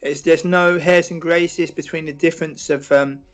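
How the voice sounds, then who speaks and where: A British man answering interview questions in a measured, reflective way, often restarting and filling pauses. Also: fairly high pitch, fairly even intonation, soft background music, poor audio. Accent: British English. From Britain. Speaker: football writer and interview guest.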